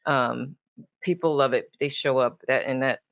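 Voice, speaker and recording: A woman's voice: a bass voice, moderate pace, no room sound. A woman talk show host chats informally with her guests. Her manner is effusive and loose, and the sound is dry, with very little room on the voice. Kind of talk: casual chatty interview hosting.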